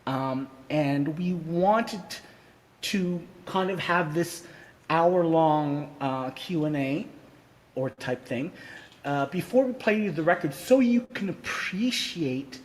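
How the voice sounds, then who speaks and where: Slow, halting man with heavy reverb. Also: a little high. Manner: halting, stammering, repeats words. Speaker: podcast host and music producer. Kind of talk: casual conversation on a live stream. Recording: reverb-drenched live stream, clear background noise.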